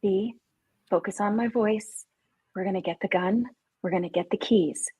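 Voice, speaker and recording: A woman's voice: mid-range voice, normal speed, mellow, slightly rough. A woman reading the part of a frightened but take-charge young camp counselor in a live slasher script read. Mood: soothing, shaky calm.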